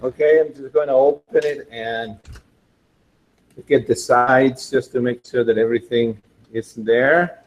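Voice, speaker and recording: Man, cooking demo, chatty and upbeat, slow unhurried delivery, faint kitchen noise. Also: medium pitch, fairly even intonation. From Argentina. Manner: chatty and enthusiastic, thinking aloud with fillers. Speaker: cooking demonstrator. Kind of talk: casual cooking demonstration.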